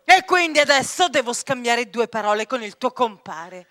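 A woman playing a bossy, sharp-tongued lady in a comic theatrical radio drama, commanding and deliberate. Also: a little high, very animated. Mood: stern, commanding.

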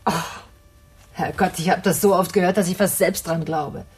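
An actress playing an educated, sharp-tongued older woman in a radio drama, sarcastic, condescending and articulate. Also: fairly low pitch, steady tone, normal speed, a little hiss or hum, lightly acted. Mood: scornful. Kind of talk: naturalistic radio drama acting.

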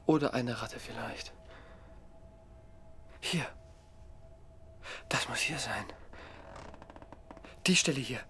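A man's voice: mid-range voice, slow, pauses often, fairly bright tone. An actor playing a stressed, agitated young father in a naturalistic radio drama, talking bluntly. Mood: hushed, obsessive. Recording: clear background noise.

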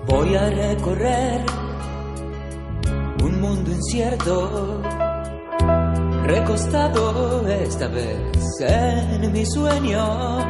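A woman singing a slow song. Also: mid-range voice. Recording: roomy echo, loud music accompaniment. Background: loud music.

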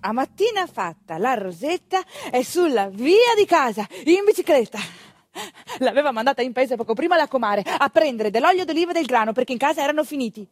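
A woman narrating a stage monologue as an actress, in an expressive, conversational and earthy storytelling manner. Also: high-pitched, a little fast, dry recording.

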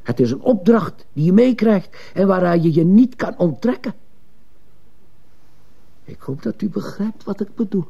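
A man playing an earnest, morally insistent missionary priest in a radio play, speaking solemnly and deliberately. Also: high voice, lively intonation, gravelly, breathy edge, heavily acted. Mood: solemn urging. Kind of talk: earnest Dutch-language radio play acting. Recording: muffled, dull old radio play recording.

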